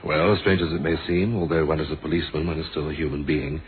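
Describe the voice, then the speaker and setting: Deep-voiced, courteous police inspector. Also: male voice, steady tone. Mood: gentle, regretful. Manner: formal, measured, polite questioning. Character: courteous, probing police inspector, firm but humane. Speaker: actor in a radio drama. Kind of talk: radio mystery drama acting. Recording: muffled, noisy old radio drama recording.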